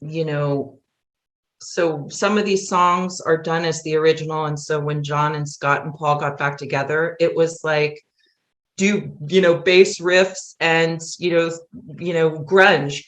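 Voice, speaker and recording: A woman's voice: low-pitched, fairly bright tone. A middle-aged woman explaining things earnestly in a casual interview, wandering from one thought to the next. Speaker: theater writer and producer, interview guest.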